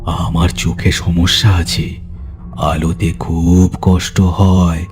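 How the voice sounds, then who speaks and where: A man voicing an eerie ghostly male stranger in an audio horror story, with a rasping, strained voice and slow, laboured demands. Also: low-pitched, little pitch movement, music in the background, voice pushed down. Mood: menacing, insistent.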